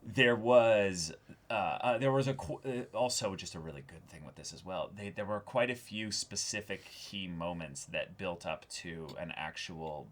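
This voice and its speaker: A man's voice: deep voice, normal speed. A man co-hosting a reaction show, talking earnestly and effusively in casual discussion, often repeating words as he searches for the next one.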